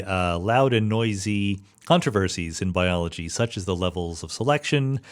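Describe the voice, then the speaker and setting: A man hosting a podcast in a reflective, casual conversational way, often posing questions. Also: on the low side. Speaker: podcast host. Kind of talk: conversational podcast introduction and interviewing.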